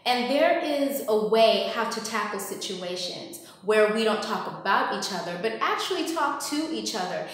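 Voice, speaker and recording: An upbeat woman host speaks straight to her viewers, welcoming them in. Her voice rings in a bright living room with a strong echo and a long reverb tail. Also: mid-range voice, moderate pace, hardly any pauses. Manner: lively, welcoming, addresses viewers directly. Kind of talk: upbeat show introduction. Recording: echoey room.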